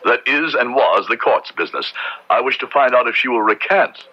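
A man's voice: mid-range voice, lively intonation, moderate pace, bright, forceful tone, breathy edge. A man playing a stern, righteous Puritan minister in old radio drama style, pompous and sermonizing in formal archaic speech. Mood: zealous. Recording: muffled, noisy old radio drama recording.

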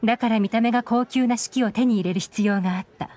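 A woman's voice: a little high, fast talker, dark tone, close to an everyday voice. A woman playing a composed, shrewd young intelligence chief who speaks with authority in an anime game. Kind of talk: Japanese anime game voice acting. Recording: game music behind.

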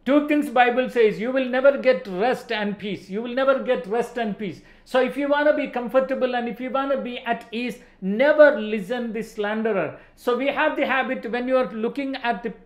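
A man's voice: very high voice, normal speed, few pauses, roomy sound. A man in his forties with an Indian accent preaching emphatically in a motivational religious talk, repeating phrases for stress. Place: indoor studio set.

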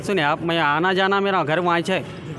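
A man's voice: fairly high pitch, fairly even intonation, normal speed. A young man with a Hyderabadi accent giving a spontaneous, insistent account to reporters, often repeating himself and urging them to listen. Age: young adult. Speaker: injured attack victim giving a statement. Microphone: handheld reporters' microphones held close. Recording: street-interview sound with crowd bustle.

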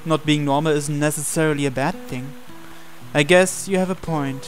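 Higher-voiced man reading a visual novel. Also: fairly even intonation, moderate pace. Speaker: gameplay reader voicing the male protagonist. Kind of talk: reading visual novel dialogue and narration aloud in character. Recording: music playing under the voice.

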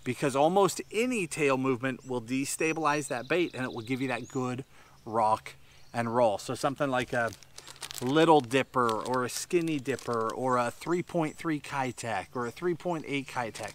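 A man's voice: mid-range voice, slight background noise. Man teaching fishing from a boat. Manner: explanatory, animated hand gestures. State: sweating in humid heat. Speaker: fishing instructor. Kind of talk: outdoor tutorial to camera. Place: seated on a bass boat outdoors by a tree-lined shore.

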